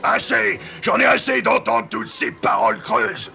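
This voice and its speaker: A rough, surly sailor for a cartoon. The voice is strained very high and heavily creaky. He grumbles and confronts loudly, over background music. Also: sing-song, unhurried, full character voice. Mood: angry, defiant. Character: rough, mutinous male sailor, surly and aggrieved. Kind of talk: animated historical series dubbing.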